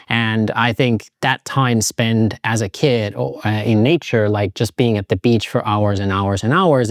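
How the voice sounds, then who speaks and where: Man, middle-aged, fairly low voice, reflective casual vlog talk, dry close sound. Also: no echo. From Finland. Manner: reflective, loose, hedging with "like" and "kind of". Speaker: vlogger.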